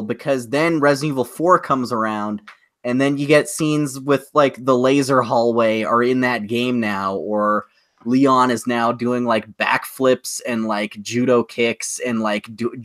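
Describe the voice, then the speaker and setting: A man co-hosting a podcast, chatting casually and giving opinions with a mock-exasperated, animated way of talking. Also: medium pitch, no room sound. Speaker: podcast co-host. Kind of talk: casual opinionated chat about movies and games.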